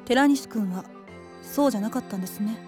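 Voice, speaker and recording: An actress in a radio drama playing a composed, quietly envious young woman who speaks politely and drily. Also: fairly high pitch, fairly quick, soft background music, lightly acted. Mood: reproving. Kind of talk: Japanese radio drama acting.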